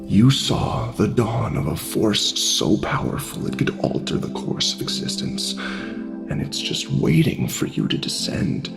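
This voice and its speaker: A man playing a sinister talking pig in an audio drama, seductive and manipulative, with breathy persuasion that slips into whispers. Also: on the low side, wildly swooping pitch, exaggerated voice. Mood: seductive, menacing. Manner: slow, breathy persuasive delivery slipping into whispers. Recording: music under the voice.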